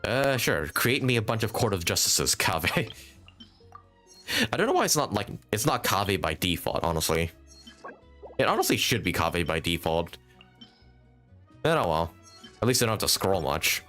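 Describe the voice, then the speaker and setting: Low-voiced, animated man livestreaming a game. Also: normal speed, pauses often, faint music behind. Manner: rambling, thinking aloud, chatty with viewers. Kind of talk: casual live gaming commentary.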